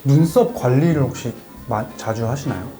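A man's voice: medium pitch, normal speed. Host: man in his 20s, polite and curious, with a music bed under him. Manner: curious, polite, laughs often. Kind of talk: casual interview over a meal.

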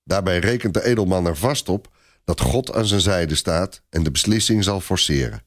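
A man narrating a dramatic historical story for a radio play in an expressive storytelling manner. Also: low-pitched, normal speed.

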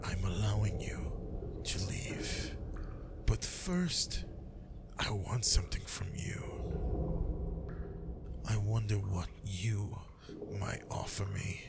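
A man playing a menacing, grieving vampire lord in an ASMR roleplay, half-whispering in a breathy, deliberate voice. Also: lowish voice. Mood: cold menace. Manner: slow, deliberate, threatening. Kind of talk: improvised ASMR roleplay, whispered, addressed to the listener. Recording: loud steady background ambience noise.

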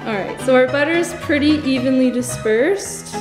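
A woman's voice: sits high, normal speed. A young woman guiding a baking demonstration in a large home kitchen, explaining each step in an upbeat, instructive way. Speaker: guest baker. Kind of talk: cooking demonstration. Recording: echoey kitchen with background music.

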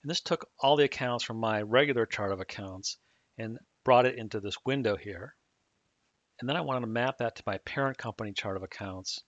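A man calmly explaining software setup step by step in a screen-recorded tutorial. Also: mid-range voice, a little fast, dry recording. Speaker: software trainer. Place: at a computer, voice-over on a screen recording. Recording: dull dry mic audio.

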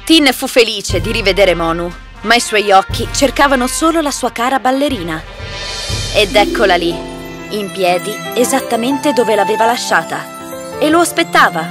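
Woman narrating over loud music. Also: medium pitch, lively intonation, normal speed. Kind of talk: scripted children's story narration. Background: loud background music.

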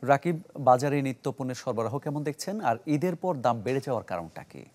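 A man presenting the news in a TV studio, crisp and formal. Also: mid-range voice, lively intonation, fairly quick, darkish tone, slight background noise. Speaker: news anchor.

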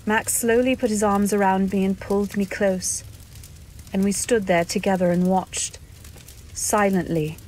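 An actress playing a wistful, refined young wife narrating her memories in an old-time radio drama, measured and literary. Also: mid-range voice, fairly even intonation, a little mellow, a little hiss or hum, natural voice, poor audio.

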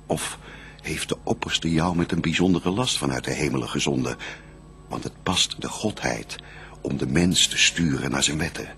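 A man's voice: a bass voice, normal speed, gravelly, bright, forceful tone, a little hiss or hum, exaggerated voice. An actor playing the first man, a reverent and grateful bridegroom, declaiming formal verse in a radio play. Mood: reverent, welcoming.

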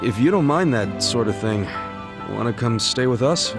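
Good-natured, plain-spoken male game character, played by an actor, mid pitch, colloquial delivery, over clear music. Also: lively intonation, normal speed, natural voice. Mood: sheepish, hesitant. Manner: casual, colloquial. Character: good-natured, plain-spoken man, loyal and easily flustered. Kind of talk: video game voice acting. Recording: music behind the voice.

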